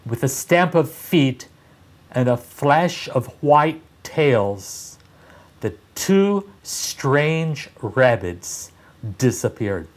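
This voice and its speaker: Slow-paced older man reading a storybook. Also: mid-range voice, lively intonation. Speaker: read-aloud teacher. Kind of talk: expressive children's storybook narration.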